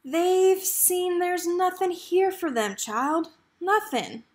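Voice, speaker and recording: A woman's voice: very high-pitched, slow, darkish tone, voice pushed up. A woman voicing a loving, devout mother from a storybook, with tender, folksy rural speech. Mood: tender, cautioning.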